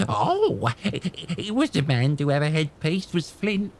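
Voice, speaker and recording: A man's voice: a little high, big pitch swings, measured pace, vocal fry, slight background noise, heavily acted. An actor playing a half-crazed old male castaway who stammers, rambles and repeats himself. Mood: wheedling. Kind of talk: radio drama acting.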